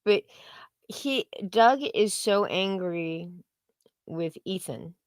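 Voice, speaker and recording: A woman's voice: medium pitch, drawn-out words, clean tone, no echo, studio quality. A woman co-hosting a livestream in casual, opinionated chat, blunt and freely swearing. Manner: blunt, profane. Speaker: co-host of a livestream talk show.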